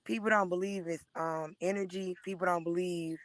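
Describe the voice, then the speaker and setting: A hoarse woman in her thirties gives an emotional, preachy testimony on a live stream, speaking into a phone and often calling listeners by name. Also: fairly low pitch, steady tone, moderate pace, no room sound. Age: 30s. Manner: earnest and preachy, repeatedly addressing listeners by name.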